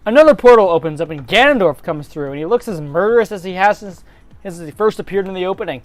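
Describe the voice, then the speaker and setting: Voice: mid-range voice, lively intonation, fast talker, soft background music. A narrator giving a dramatic reading of a fan story in a hammy storybook style, with casual self-aware asides.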